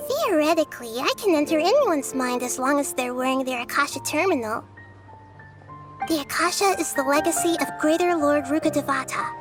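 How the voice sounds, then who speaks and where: A woman playing a childlike, wise young goddess in a video game, speaking in a measured, thoughtful, earnest way. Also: high-pitched, expressive, music in the background. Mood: composed explaining. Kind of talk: scripted video game voice acting.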